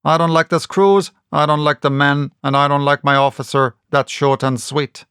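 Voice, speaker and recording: A man's voice: a little high, little pitch movement, moderate pace, a bit bright, clear, resonant tone, dry recording, studio quality, natural voice. Man voicing a stern, blunt sea captain. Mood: blunt, displeased. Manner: plain-spoken, clipped, insistent. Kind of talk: audiobook character reading.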